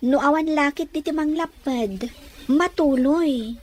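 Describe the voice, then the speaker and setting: A very high-pitched young daughter in love, acted by a woman. She speaks as a devoted, searching character in a family audio drama. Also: unhurried. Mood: insistent. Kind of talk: Ilocano audio drama dialogue.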